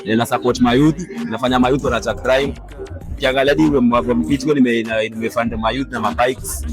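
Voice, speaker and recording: A man talks quickly and keenly in a street interview. His voice sits in a middle range. Music plays clearly underneath him. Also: medium pitch. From Kenya. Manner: lively, enthusiastic, mixing Swahili and English slang.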